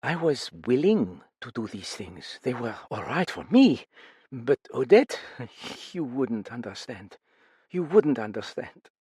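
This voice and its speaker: A man reading an audiobook, voicing a brittle, grieving middle-aged widow in a raised, halting, pained voice. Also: mid-range voice, lively intonation, warm tone, husky, no echo, studio quality, voice pushed up. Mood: anguished. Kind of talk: audiobook character voice.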